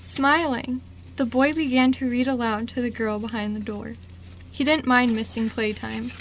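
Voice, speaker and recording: A woman's voice: fairly high pitch, steady tone, normal speed. A woman reading scripted story narration for an amateur radio drama. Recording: noisy amateur radio recording.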